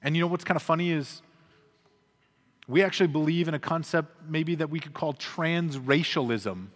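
A man's voice: fairly high pitch, normal speed. Earnest man preaching in a reverberant hall. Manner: earnest, emphatic preaching with dropping endings. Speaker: pastor. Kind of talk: sermon. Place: church sanctuary.

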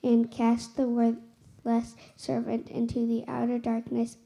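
A woman reads scripture solemnly and slowly, in a very soft, dark tone. Her pitch stays almost completely flat, and each phrase is set down with deliberate care. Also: creaky. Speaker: scripture reader.

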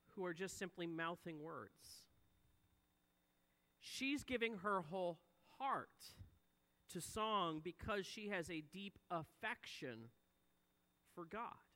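A man's voice: high voice, bright, forceful tone. A man in his forties preaching a sermon, earnest, swelling and dropping his volume for emphasis. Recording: long hall reverb.

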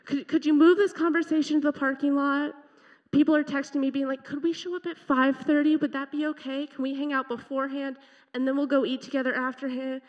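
A woman with a fairly high, loud voice gives an earnest, emotional testimony in a church. The sound is somewhat dull and lo-fi, and her words ring out in a long hall reverb. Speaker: youth leader sharing testimony. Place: church sanctuary.

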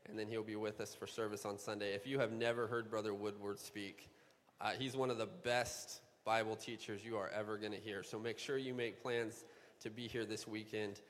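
A man's voice: on the low side, normal speed. A man, a youth pastor, giving upbeat, joking church announcements before introducing a skit. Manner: upbeat, joking, with bursts of excitement. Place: church sanctuary. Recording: long church reverb.

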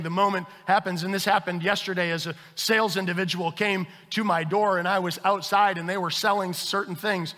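A man preaching a sermon as pastor, with earnest emphasis that swells and then drops to a hushed tone. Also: high-pitched, big-room sound. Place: church sanctuary.

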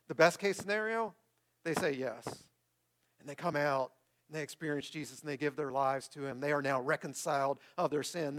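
Male pastor, fairly high voice, conversational church delivery that leans on repetition for emphasis. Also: a bit bright. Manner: conversational, repeats phrases for emphasis. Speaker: pastor preaching. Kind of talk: church sermon with closing prayer and announcements. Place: speaking to a congregation in a room.

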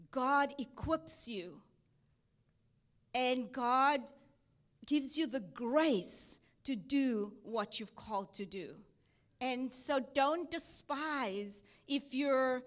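A woman from South Africa with a South African accent touched by Texas, speaking earnestly at church as a missionary guest, with frequent ums. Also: high voice. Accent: South African, with some Texan. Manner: earnest, with soft laughs and many ums. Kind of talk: church testimony and exhortation.

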